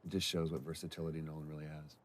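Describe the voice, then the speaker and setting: Very deep-voiced man in casual commentary. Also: little pitch movement, racing. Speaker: commentary participant. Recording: noticeable background noise.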